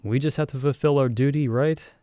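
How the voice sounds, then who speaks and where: Man playing a mellow, caring young mage friend. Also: medium pitch, steady tone, fairly quick, no echo, clean studio sound, close to an everyday voice. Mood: determined. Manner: casual, chuckling, reassuring. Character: cheerful, caring young male friend, novice mage in fantasy world. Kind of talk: ASMR roleplay, intimate one-sided conversation.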